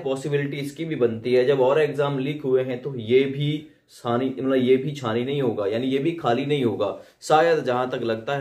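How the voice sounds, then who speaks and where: A man's voice: medium pitch, darkish tone. Echoey young man explaining. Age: young adult. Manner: repeats himself, frequent "hai na" tag questions. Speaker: host of exam update videos. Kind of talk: informal explainer addressed to students.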